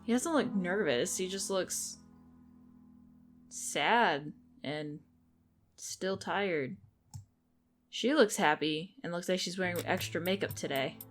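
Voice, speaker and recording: A woman's voice: fairly low pitch, slow, pauses often, faint music behind. A woman gaming and commentating casually, chatting and thinking aloud as she plays. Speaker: game streamer.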